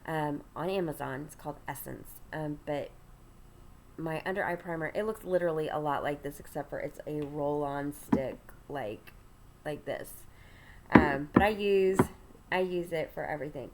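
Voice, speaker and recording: Congested woman host, lower voice, rambling get-ready-with-me chat while doing makeup, faint hiss behind. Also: normal speed. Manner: chatty, rambling, many ums and restarts. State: congested, stuffy nasal voice. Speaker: ministry host.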